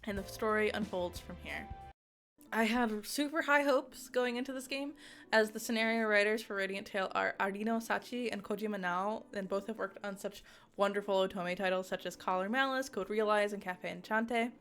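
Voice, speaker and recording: A woman giving a casual, chatty game review, giggly and excitable, with rambling asides. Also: mid-range voice, moderate pace. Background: music.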